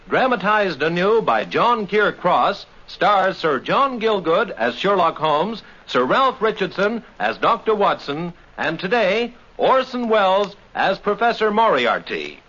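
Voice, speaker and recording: A man's voice: high-pitched, a bit bright. A man announcing credits in a formal, projected 1950s radio style. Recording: dull 1950s radio recording.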